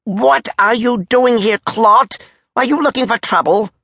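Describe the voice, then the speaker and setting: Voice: mid-range voice, measured pace, a bit bright, dry recording, studio quality, voice pushed up. A performer voicing a blustering, easily enraged military major who barks and roars in a storybook tale. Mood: irritated, suspicious. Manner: barking, roaring, threatening.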